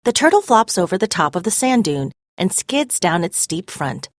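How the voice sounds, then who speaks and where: A woman narrating a scripted story as a read-aloud, expressive and clearly phrased. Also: mid-range voice, normal speed, no room sound, clean studio sound.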